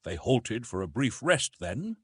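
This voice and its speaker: A man narrating a classic adventure novel in an expressive, theatrical storytelling manner. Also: mid-range voice, unhurried, breathy edge, no echo, studio quality. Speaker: audiobook narrator.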